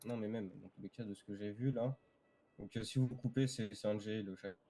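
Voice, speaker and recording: A man's voice: fairly low pitch, fairly even intonation, a little fast, dark tone. A man moderating a game dispute casually, asking questions with hesitant phrasing, over gaming voice chat.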